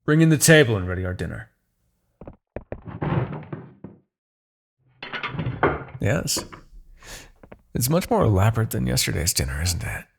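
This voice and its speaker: Man acting as a gravelly, seductive demon king. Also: big pitch swings, background noise. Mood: composed, courteous. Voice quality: gravelly, creaky low voice. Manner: theatrical, silky, condescending persuasion with dramatic pauses. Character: seductive, manipulative male demon king, haughty and persuasive. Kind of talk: fantasy ASMR roleplay monologue.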